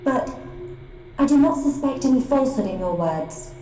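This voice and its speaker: An actress playing a young royal heiress in a game, dignified, earnest and formal. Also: female voice, high-pitched, lively intonation, normal speed, crowd noise. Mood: puzzled, reverent. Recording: echoing chamber, music behind.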